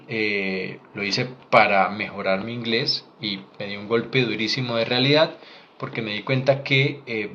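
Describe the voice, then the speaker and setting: A man's voice: fairly low pitch, pushed and bright. A Colombian man in his thirties, a doctor, speaking frankly and earnestly to camera about his career path with a Colombian accent. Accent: Colombian Spanish. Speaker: doctor sharing career advice. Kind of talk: direct-to-camera advice vlog. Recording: slightly dull lo-fi sound with faint noise.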